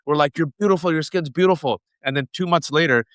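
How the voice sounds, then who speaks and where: A man in his forties from the United States, hosting an interview and telling animated personal stories with lots of asides. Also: fairly high pitch, fast, no echo, studio quality. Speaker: host. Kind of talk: conversational interview.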